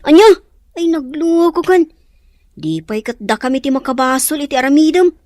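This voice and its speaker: A woman's voice: high voice, animated, normal speed, darkish tone, dry recording, clean studio sound. A woman playing a matter-of-fact friend in an audio drama, chatty and advising, with an Ilocano accent.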